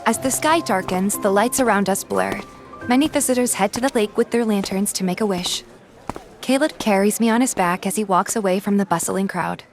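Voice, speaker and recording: A soft, earnest young woman of average pitch, acted by a female fan dubber, the shy heroine of an otome game. She tells her own feelings in a tender-hearted way over clearly audible music. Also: a little fast, lightly acted. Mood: reflective narration. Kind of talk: first-person narration and dialogue in a game fan dub.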